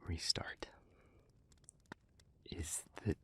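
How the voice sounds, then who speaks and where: A man playing a gentle, remorseful demon in an intimate audio roleplay, speaking in a breathy near-whisper with halting, earnest care. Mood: tender, remorseful. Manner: halting, earnest, careful, with long pauses.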